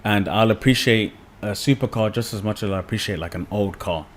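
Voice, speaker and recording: A young man from the UK, a podcast guest, talking in a relaxed, rambling way with many fillers. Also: fairly low pitch, brisk, a little hiss or hum. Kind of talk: casual podcast conversation.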